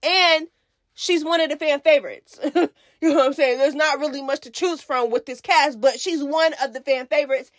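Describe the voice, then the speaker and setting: Sassy high-pitched woman vlogger. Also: moderate pace, no room sound. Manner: sassy, slangy, emphatic repetition. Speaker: YouTube reality TV recap host. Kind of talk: casual opinionated commentary.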